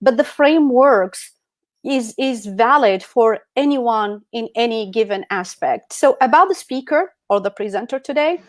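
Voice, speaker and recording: Middle-aged female guest speaker from Algeria, higher register, dry sound, motivational seminar delivery. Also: expressive, unhurried, dry recording. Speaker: guest speaker at an online e-commerce summit. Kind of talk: motivational presentation. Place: indoors on an online video call.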